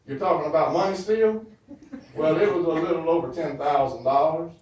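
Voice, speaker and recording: Southern guy doing folksy comedy, flustered n stammering, highish voice, super echoey and far from the mic. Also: a little fast, a little mellow, slight background noise. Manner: folksy, stammering, repeats himself. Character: flustered, excuse-making churchgoer on the phone. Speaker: church comedian. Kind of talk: comedy monologue, one side of a phone call. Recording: very echoey hall, distant microphone.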